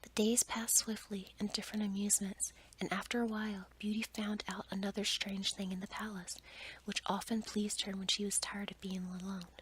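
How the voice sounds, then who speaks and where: A woman reading a bedtime story aloud for ASMR in a soft, breathy, measured whisper. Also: medium pitch, level tone, a little hiss or hum, poor audio.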